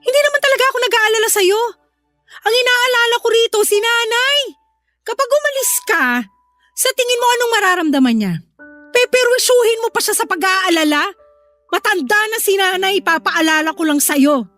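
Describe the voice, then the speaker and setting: Woman acting a very high-pitched, bossy Filipina older sister. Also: expressive, brisk, sharp tone, soft background music, exaggerated voice. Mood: scolding. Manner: nagging, confrontational. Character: bossy, hot-tempered working older sister, scolding. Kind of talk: Filipino radio-style drama dialogue.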